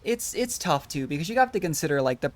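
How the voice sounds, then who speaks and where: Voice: mid-range voice, sing-song, fast, no echo. A game streamer giving casual playthrough commentary, rambling and thinking aloud.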